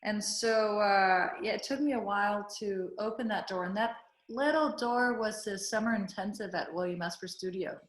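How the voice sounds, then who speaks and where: A woman from Denmark who speaks English as a second language. She talks in a halting, searching way and laughs easily. Her voice sits in a middle range and carries a roomy echo. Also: medium pitch. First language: Danish. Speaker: guest actor. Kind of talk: casual interview chat.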